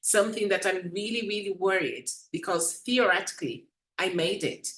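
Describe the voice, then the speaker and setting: A woman's voice: medium pitch, moderate pace, a bit bright. A woman in her late forties, not a native English speaker, speaking earnestly as a panelist in a webinar discussion, with frequent hesitant fillers. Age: 40s.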